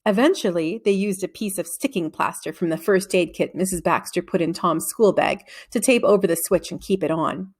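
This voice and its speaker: A woman narrating a children's novel aloud in an expressive storytelling way. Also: fairly low pitch, normal speed, hardly any pauses. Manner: expressive storytelling with acted dialogue.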